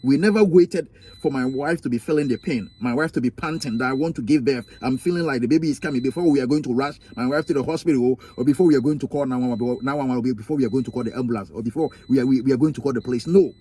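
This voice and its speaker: Emphatic Ghanaian man, husky and mellow. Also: medium pitch, quick pace, few pauses. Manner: emphatic, repetitive, preachy storytelling. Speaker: vlogger. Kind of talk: personal story vlog.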